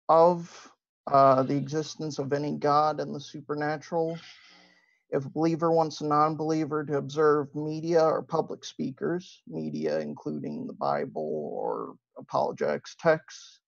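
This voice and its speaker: A man with a Midwestern accent delivers a debate opening slowly, stopping often for fillers and restarts. His voice is heavily gravelly and sits a little high, and a dull, lo-fi mic flattens the sound. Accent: Midwestern American. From Ohio, USA. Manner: hesitant, many fillers and restarts.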